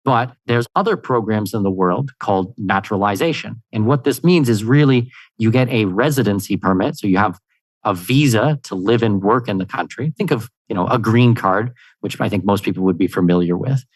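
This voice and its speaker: A man from Canada, a podcast guest answering questions in an upbeat, energetic way, with plenty of "you know" fillers. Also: on the low side, a little fast, no room sound, rough recording. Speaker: guest, expat consultant. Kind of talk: conversational interview answers.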